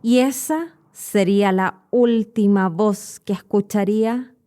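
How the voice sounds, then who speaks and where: A woman's voice: medium pitch, warm tone. Chilean woman narrating a spooky tale. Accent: Chilean Spanish. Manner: clear, measured storytelling, slowing for suspense. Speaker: podcast host and Spanish teacher. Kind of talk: storytelling narration for learners.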